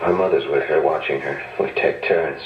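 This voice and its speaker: An actor playing a distraught doctor whose fiancée is dying, earnest and stammering, in an old-time radio drama. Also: male voice, a bass voice, steady tone, normal speed. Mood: worried. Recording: old radio broadcast, roomy and dull.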